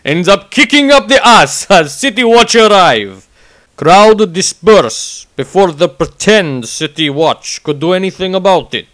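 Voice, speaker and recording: A loyal, burly foreign bodyguard in a fantasy audiobook. He talks slowly in broken English with dropped articles, and his high voice rises and falls freely. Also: lively intonation, fairly bright tone. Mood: impressed, matter-of-fact. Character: burly, loyal bodyguard from Kislev, blunt and earnest. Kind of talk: dramatised diary reading.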